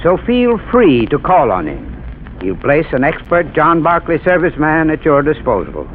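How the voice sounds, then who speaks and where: A man's voice: fairly high pitch, wildly swooping pitch, normal speed, a little hiss or hum. A man reading a scripted 1930s radio commercial in an earnest, friendly manner. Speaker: advertising spokesman. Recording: tinny 1930s radio broadcast.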